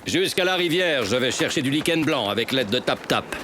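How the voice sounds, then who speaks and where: A man voicing a calm, kindly adult male animal in a French cartoon, courteous and reassuring. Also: mid-range voice, normal speed, background noise, lightly acted. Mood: helpful. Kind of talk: French cartoon dub acting.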